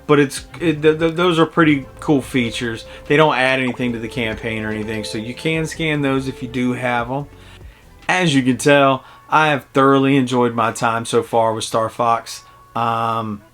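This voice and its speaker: A man in his early forties giving a casual, conversational video game review in a halting, reflective way. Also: medium pitch, a bit bright. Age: early 40s.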